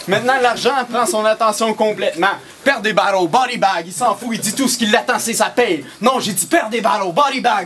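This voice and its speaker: Un jeune homme à l'accent québécois balance des rimes crues et provocatrices pendant une battle. Sa voix est haute. Un léger bruit de fond l'accompagne. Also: male voice, high-pitched, fairly bright tone, a little hiss or hum. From Quebec. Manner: crude taunting rap, swearing, loses his lines. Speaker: battle rapper. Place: small indoor shop venue.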